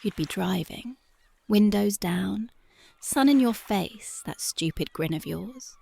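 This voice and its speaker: A woman voicing a tough but devoted young punk girlfriend in an ASMR roleplay, talking softly and intimately close to the mic, often dropping to a whisper. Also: medium pitch, expressive, slow pace, gravelly, husky, heavily acted. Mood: tender, dreamy. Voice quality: soft, intimate, partly whispered. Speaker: voice actress.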